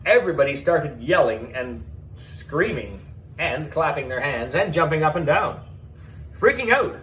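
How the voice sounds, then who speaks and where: A man narrating a children's audiobook in the character of a schoolboy, animated and deliberate, with dramatic pauses. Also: fairly high pitch, a little hiss or hum, low-quality recording.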